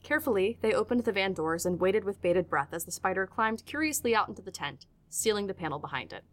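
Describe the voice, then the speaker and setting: A woman narrating a scripted audio drama in a measured, literary storytelling manner. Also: mid-range voice, animated, a bit bright.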